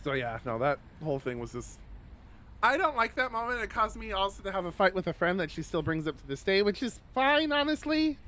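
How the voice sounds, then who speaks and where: Expressive man with a higher-pitched voice. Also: a little fast. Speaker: streamer reading a visual novel aloud. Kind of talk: casual read-aloud with personal asides.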